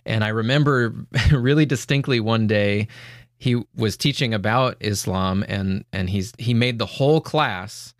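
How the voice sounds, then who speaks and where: A man's voice: fairly low pitch, measured pace, dry recording, clean studio sound. A man from the United States, hosting a podcast and asking questions in a loose, friendly way with frequent laughs. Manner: friendly, laughs often, rambling. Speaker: podcast host. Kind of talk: casual interview.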